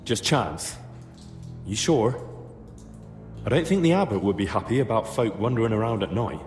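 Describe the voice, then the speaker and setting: An actor playing a blunt, probing young male investigator in a video game cutscene, speaking in a measured, matter-of-fact way. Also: on the low side, lively intonation, a little mellow, soft background music, lightly acted. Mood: probing, wry.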